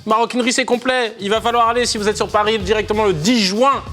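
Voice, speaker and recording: A man's voice: high voice, steady tone, fast talker, pushed and bright, faint music behind. A man hosting a radio show, playful and joking as he leads the chat. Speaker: radio host.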